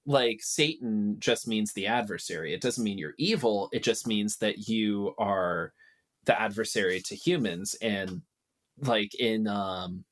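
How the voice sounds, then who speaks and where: A man with a slightly deep voice explains his ideas in a loose chat. His wording is slangy and informal, like someone telling a story among friends. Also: a little slow. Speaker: podcast guest.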